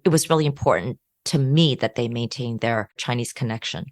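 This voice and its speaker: A middle-aged woman from the United States, a guest telling family stories in an interview, with lively acted quotes. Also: rumbling low, a little fast, no echo, studio quality. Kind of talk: interview storytelling.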